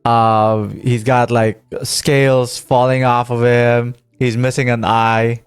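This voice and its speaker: A man's voice: medium pitch, flat delivery, takes it slowly, clean tone, dry recording. A man streaming a game with casual, reactive, jokey commentary. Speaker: gaming streamer. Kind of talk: casual let's-play commentary. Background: game music.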